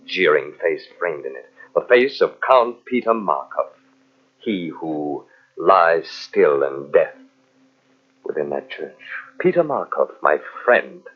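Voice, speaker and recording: An actor playing a vengeful, jealous army captain in old-time radio mystery style, with a brooding, menacing, melodramatic delivery. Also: male voice, sits low, breathy edge, full character voice. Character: vengeful, coldly jealous army captain narrating his tale. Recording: muffled old radio show from cassette.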